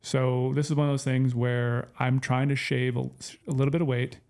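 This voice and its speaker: A man's voice: mid-range voice, level tone, moderate pace, darkish tone. A man co-hosting a casual podcast, explaining his choices in an easygoing, plain way. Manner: easygoing, explains plainly, short agreeing replies. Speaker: podcast co-host.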